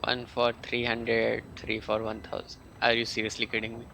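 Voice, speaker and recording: A man's voice: medium pitch, completely flat delivery, moderate pace, vocal fry, a little hiss or hum, low-quality recording. A man giving casual live game commentary, thinking aloud and questioning what he sees. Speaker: game streamer. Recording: loud game music behind.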